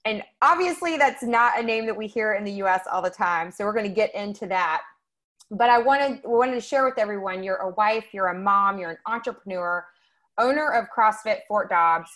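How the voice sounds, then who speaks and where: A woman's voice: medium pitch, normal speed, fairly bright tone. Upbeat woman hosting a podcast. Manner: upbeat and enthusiastic. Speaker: podcast host. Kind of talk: casual interview hosting.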